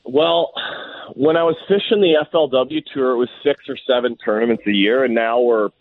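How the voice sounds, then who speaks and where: A Canadian man in his thirties, hoarse and scratchy from a cold, talking casually and rambling a bit as a guest. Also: medium pitch, moderate pace, no echo. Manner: rambling, easygoing, restarts often. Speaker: professional bass angler, guest. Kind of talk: casual phone interview. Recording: tinny phone line.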